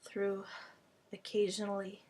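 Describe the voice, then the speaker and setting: Slow, deliberate woman, native Hungarian speaker. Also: mid-range voice, completely flat delivery, a little mellow. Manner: deliberate, halting delivery in short phrases. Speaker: language tutor. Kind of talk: personal vlog monologue.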